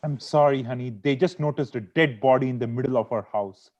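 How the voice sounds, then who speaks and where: A man's voice: medium pitch, a little fast, a little mellow, lightly acted. Man as sulky husband, muffled call audio. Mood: aggrieved. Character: aggrieved, sulky cake-loving husband. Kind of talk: amateur script table read. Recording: dull lo-fi video call.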